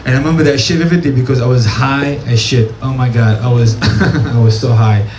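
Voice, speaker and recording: A tipsy man from Syria with a Syrian accent, doing a deadpan stand-up comedy set and repeating small asides after each punchline. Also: medium pitch, a little fast. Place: small cafe comedy stage. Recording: echoey room with crowd noise. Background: audience laughter and room ambience.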